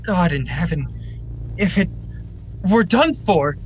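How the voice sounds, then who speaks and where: A doomed husband, played by a man, faces the end forgivingly in a radio drama. His voice sits slightly high, with clear noise around it. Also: lively intonation, slow pace. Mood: desperate. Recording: noisy recording.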